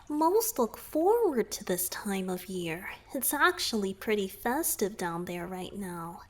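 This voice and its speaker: A woman's voice: fairly high pitch, exaggerated voice. A woman playing a shy, flustered young merman boyfriend in a romantic ASMR roleplay, speaking hesitantly and earnestly to the listener. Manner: hesitant, flustered, with sighs and murmured asides. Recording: background noise with trickling water.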